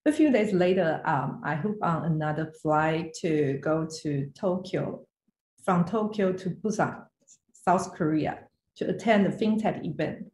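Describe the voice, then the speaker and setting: A woman's voice: low voice, unhurried, darkish tone, a little hiss or hum. Echoey woman presenting. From Taiwan. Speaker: finance executive, guest speaker. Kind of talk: prepared online presentation. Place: indoor room on video call. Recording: roomy, echoey online call audio.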